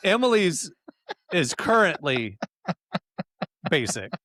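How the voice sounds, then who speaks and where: A man hosting a podcast, reading listener questions in a relaxed, chatty, joking way. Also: on the high side, slow pace, dry recording. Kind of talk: casual chat.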